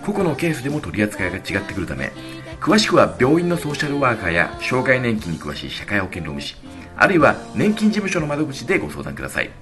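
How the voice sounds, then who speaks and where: A man's voice: mid-range voice, huge pitch swings, quick pace. A man speaking politely and conversationally as a radio show's leader and commentator. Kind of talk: radio talk and explanation. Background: faint music.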